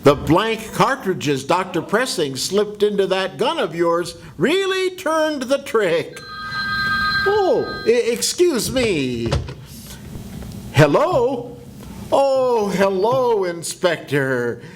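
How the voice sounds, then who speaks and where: A man's voice: high-pitched, big pitch swings, takes it slowly, noisy background, full character voice. An older man as a self-satisfied sleuth. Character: triumphant man who exposed a killer. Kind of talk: amateur old-time radio play reading.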